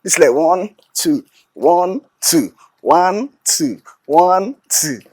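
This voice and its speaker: An actor playing an eager, devoted young house helper in a film drama, with a Nigerian accent and emphatic, repetitive delivery. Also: male voice, on the high side, expressive, very slow, darkish tone, breathy edge, heavily acted. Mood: playful, excited.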